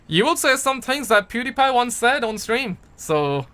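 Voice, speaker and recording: A man's voice: very high voice, sing-song, normal speed, bright, forceful tone, smooth. A young man from Pakistan, chatting casually on a livestream and answering viewer comments in a rambling, playful way that repeats words. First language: Urdu.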